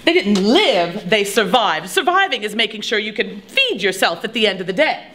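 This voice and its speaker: A woman's voice: medium pitch, sing-song, moderate pace, bright, forceful tone, reverberant. A woman playing a proud Spanish colonial teacher who lectures a crowd in a live history reenactment. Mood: defensive. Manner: theatrical, bossy, addressing a crowd.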